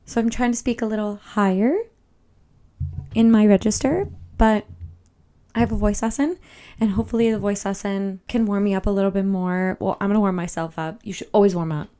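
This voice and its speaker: A woman's voice: medium pitch, fairly even intonation, a little fast, dark tone, clean tone. A young woman vlogging in a casual, upbeat, chatty way, thinking aloud, her voice a little tired. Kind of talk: casual vlog chat. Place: walking outdoors on a loud city street.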